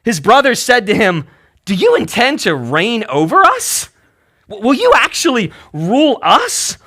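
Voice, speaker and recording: High-pitched, animated male preacher. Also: a little slow. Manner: emphatic, colloquial storytelling with frequent "right?" check-ins. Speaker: pastor preaching. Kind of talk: casual, conversational sermon.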